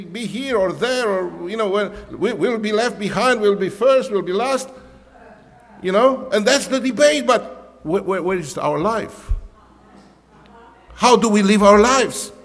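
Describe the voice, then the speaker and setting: A man's voice: high-pitched, big-room sound. A man whose first language is Romanian, a pastor preaching a conversational sermon in English, full of "you know" and restarts.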